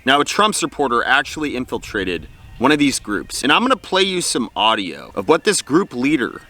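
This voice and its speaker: A man's voice: medium pitch, very animated, moderate pace, bright, forceful tone. An American man giving opinionated political commentary as a host, blunt, indignant and colloquial in manner.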